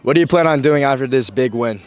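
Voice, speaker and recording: A young man working as a student sports reporter, asking players quick, upbeat questions outdoors at a field. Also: mid-range voice, smooth. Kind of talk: casual sideline interview.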